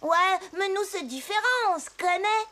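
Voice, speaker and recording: A woman's voice: very high-pitched, expressive, normal speed, a little hiss or hum, heavily acted. A woman voicing a mischievous, wheedling small child in a French children's cartoon. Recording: loud music behind the voice.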